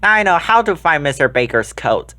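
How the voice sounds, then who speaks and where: A man voicing a clever, observant young schoolgirl detective in a children's storybook, earnest and deliberate. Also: a little high, sing-song, pushed and bright. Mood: confident.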